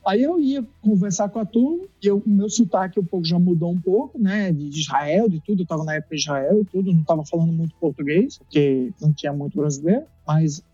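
A Brazilian dentist from Recife, a man, telling an animated story in an interview, acting out dialogue and laughing often. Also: sits high, fast, hardly any pauses, breathy edge, no echo, dull audio, faint music behind.

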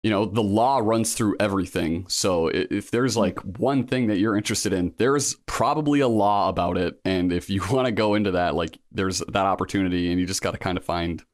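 A man's voice: low-pitched, fairly quick, few pauses, dry recording, studio quality. A man in his thirties, a guest in a casual interview, talking in a reflective, rambling way with many fillers and restarts. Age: 30s. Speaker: guest, law school applicant.

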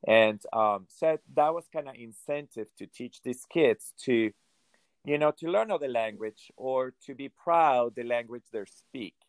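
A middle-aged man with a Venezuelan accent, a ballet director speaking in an interview, halting and repeating himself, with many "you know"s. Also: medium pitch, dry recording. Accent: Venezuelan Spanish. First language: Hungarian. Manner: halting, repeats words and restarts, says "you know" often. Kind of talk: conversational interview.

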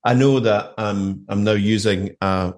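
Low-voiced British man telling anecdotes. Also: fairly even intonation, a little slow, a little mellow, no echo, clean studio sound. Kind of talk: casual conversation, anecdotal.

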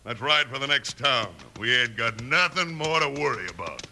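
An actor playing a cocky, scheming outlaw gang leader, smug and commanding, in a 1950s western radio drama. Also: male voice, medium pitch, animated, normal speed, over music, lightly acted. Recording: faintly noisy old radio recording.

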